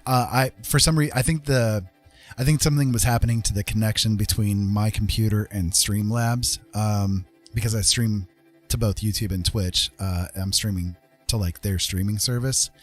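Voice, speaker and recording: A man with a fairly low voice chats casually as a live streamer. His talk is unscripted and wanders conversationally from thought to thought. Music plays clearly behind him. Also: fairly even intonation, normal speed. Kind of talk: casual unscripted livestream chat.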